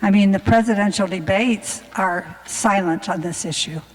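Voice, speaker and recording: A woman's voice: mid-range voice, normal speed. A woman in the audience raising an issue and asking the panel to take it up, in an earnest, insistent way. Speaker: audience member asking a question. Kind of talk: question from the floor. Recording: reverberant hall.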